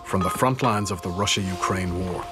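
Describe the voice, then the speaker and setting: Low-voiced male news reporter over music. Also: moderate pace. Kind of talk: scripted news narration.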